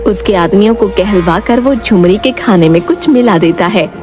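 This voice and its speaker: A woman's voice: mid-range voice, moderate pace. Woman narrating a story over music. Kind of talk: scripted children's story narration.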